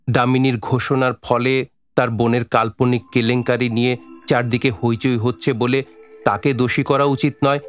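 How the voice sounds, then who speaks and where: Male narrator, mid-pitched, measured and dramatic literary read for audio drama, soft music underneath. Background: faint music.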